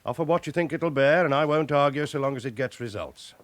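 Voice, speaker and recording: An actor playing a no-nonsense chief inspector in a naturalistic radio drama, speaking with dry, matter-of-fact authority. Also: male voice, on the high side, brisk, no echo, natural voice. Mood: impatient, dry. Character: pressured, no-nonsense male police chief inspector.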